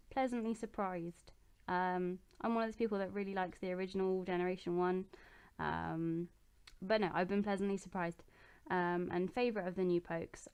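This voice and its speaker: A young woman in her bedroom, answering viewer questions in a relaxed, chatty way, with plenty of ums and restarts. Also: mid-range voice, clean tone. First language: English. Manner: chatty and relaxed, with many ums and self-corrections. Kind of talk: casual chat.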